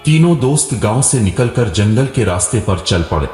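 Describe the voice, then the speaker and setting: A man's voice: on the low side, animated, moderate pace, darkish tone. A man narrating a scripted moral story as a storyteller. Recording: roomy echo with long reverb, music behind. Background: music.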